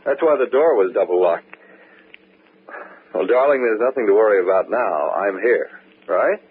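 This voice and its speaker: A man playing a solicitous, patronizing husband in an old-time radio mystery, soothing and insistent with a quiet menace. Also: lowish voice, animated, moderate pace, husky, phone-quality sound. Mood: soothing, coaxing. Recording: thin, hissy vintage radio recording.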